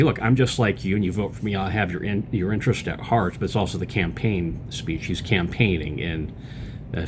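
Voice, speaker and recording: A man with a deep, low-pitched voice talks straight into a close camera mic as a podcast host. His commentary rambles in a casual way, with lots of "uh"s and false starts. A faint low rumble sits underneath. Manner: rambling, loose delivery with many fillers and restarts. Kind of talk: casual political commentary monologue.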